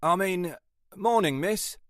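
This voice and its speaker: Man voicing a cheeky young detective, high-pitched. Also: expressive, takes it slowly, a bit bright, dry recording, studio quality, exaggerated voice. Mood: taken aback. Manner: casual, colloquial. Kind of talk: audiobook character dialogue.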